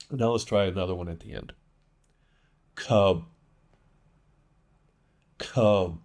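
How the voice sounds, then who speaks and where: Deep-voiced man teaching pronunciation slowly. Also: darkish tone. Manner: deliberate, emphatic enunciation of example words. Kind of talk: instructional lesson with demonstrated words.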